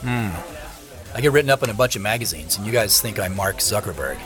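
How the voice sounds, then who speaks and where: Audio drama casting: defensive male CEO, played by an actor, lowish voice, brushing off his celebrity, music clearly underneath. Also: animated, fast talker, lightly acted. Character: defensive male CEO, busy family man playing down fame.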